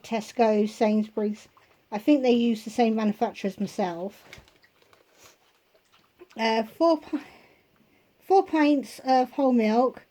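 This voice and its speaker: A woman vlogger at home, chatting casually through a shopping haul and listing prices, her voice going hoarse. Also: a little high, dark tone. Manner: chatty, unhurried, itemizing prices.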